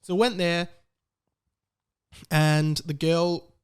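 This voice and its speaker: An Australian man hosting a podcast, telling a personal story in a casual, chatty and animated way. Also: on the high side, slow, smooth. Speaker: podcast host.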